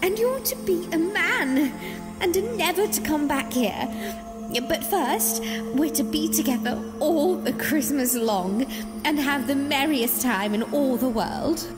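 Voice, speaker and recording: A woman's voice: high voice, animated, slow. A woman voicing an affectionate, eager little girl in an audio drama, tender and earnest. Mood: loving, eager. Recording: long reverb, music behind.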